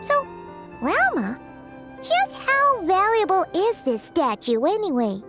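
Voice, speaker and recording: A woman voicing a tiny floating female companion who is excitable and chatty and speaks of herself in the third person, in a video game cutscene. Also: very high-pitched, slow, heavily acted. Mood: excited, chatty. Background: game background music.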